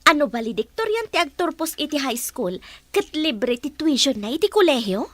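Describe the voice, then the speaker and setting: A supportive godmother in a radio drama, with a Filipino accent. She speaks high, with lively swinging intonation. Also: normal speed, dry recording. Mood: curious, fond. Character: supportive godmother and family friend. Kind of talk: Philippine radio drama acting.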